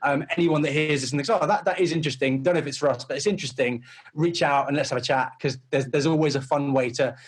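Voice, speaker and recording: A man's voice: a little high, slightly rough. A man chatting casually as an interview guest, explaining things in an informal, conversational way. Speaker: comedy company founder, interview guest.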